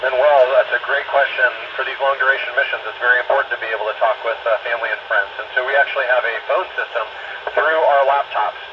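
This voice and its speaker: A man's voice: mid-range voice, steady tone, quick pace. A man who is an astronaut and doctor answers students' questions in a plainly worded, explanatory way over a two-way radio. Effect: two-way radio transmission. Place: aboard a space station, over amateur radio. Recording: muffled radio link with loud static.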